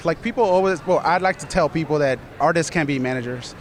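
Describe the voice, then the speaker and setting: A man's voice: fairly high pitch, fast talker, a little hiss or hum. A man from Colombia who manages an art studio, talking earnestly in a casual interview and often repeating himself. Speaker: studio manager and business partner.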